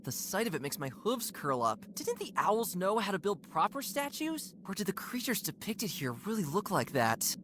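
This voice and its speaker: A woman's voice: mid-range voice, sing-song, normal speed, few pauses, background noise, natural voice. A woman voicing an earnest, curious young deer in a cartoon adventure game, musing aloud expressively. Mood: wary, curious.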